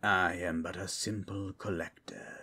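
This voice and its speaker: A man playing an ancient, calm and menacing undead collector with a formal, deliberate delivery in an audio roleplay. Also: low voice, breathy edge, exaggerated voice. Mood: measured, imperious.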